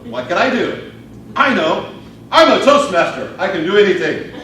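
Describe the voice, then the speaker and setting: A man giving a theatrical storytelling speech as a contestant, with a dramatic, deliberate delivery full of pauses. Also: high voice, sing-song, fairly bright tone. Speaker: speech contestant telling a tall tale. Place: roomy indoor speech contest venue. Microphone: distant camera microphone. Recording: distant, echoey, noisy live room recording. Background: noisy room ambience, audience laughter.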